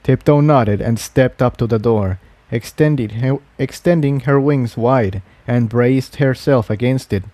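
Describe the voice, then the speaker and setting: A man's voice: mid-range voice, measured pace, warm tone, dry recording. A man reading a fantasy adventure story aloud as its narrator, in scripted narration. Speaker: narrator, fan fiction reader.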